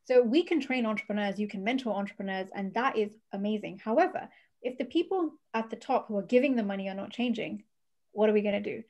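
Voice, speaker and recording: A woman's voice: medium pitch, fast talker, a little mellow, highs cut off. A woman speaking as a panelist, explaining her points earnestly. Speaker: panelist, venture fund founder. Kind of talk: panel discussion.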